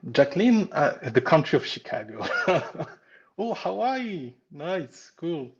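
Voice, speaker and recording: A man's voice: a little high, sing-song, slow pace, dull audio. A man with a French accent, a pastry instructor, cheerfully greeting viewers one by one as a live lesson begins. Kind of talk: live-stream greeting chat. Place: home kitchen.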